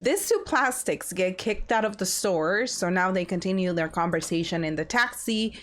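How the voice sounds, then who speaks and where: A woman's voice: lowish voice, animated, moderate pace. A woman hosting a reaction channel, recapping a reality show in a sassy, chatty way. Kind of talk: casual recap commentary.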